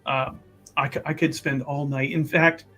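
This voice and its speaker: A man voicing a roguish, thrill-seeking occultist in a tabletop game, rambling, excitable and conspiratorial. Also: fairly high pitch, normal speed, faint music behind. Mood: conspiratorial, boastful.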